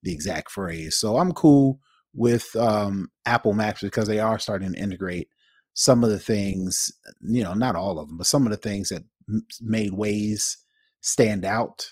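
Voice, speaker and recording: A man's voice: lowish voice, unhurried, darkish tone, no echo, studio quality. A man co-hosting a tech podcast in casual chat, explaining things informally. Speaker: podcast co-host.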